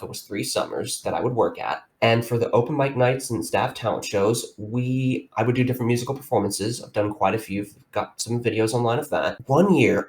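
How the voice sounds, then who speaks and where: A young man co-hosting a casual podcast, telling stories in a rambling, animated way with many restarts. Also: fairly low pitch. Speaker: podcast co-host. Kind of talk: casual chat, storytelling.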